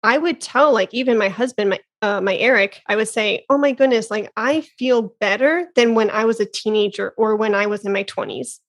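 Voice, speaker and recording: An enthusiastic woman in her thirties hosts a casual podcast interview. She talks in a slightly high voice, exclaims often and laughs between thoughts. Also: brisk, hardly any pauses. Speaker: podcast host.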